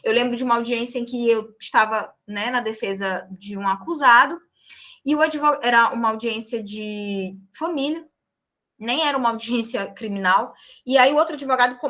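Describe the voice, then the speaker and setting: A young woman teaching law in a high, bright voice. She speaks emphatically, pausing often to check that the class is following, and her tone is lively and clear. It is the voice of an instructor who also practices in court. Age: young adult. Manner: emphatic, tags points with check-in questions. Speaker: law lecturer and practicing lawyer. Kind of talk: lecture to a class.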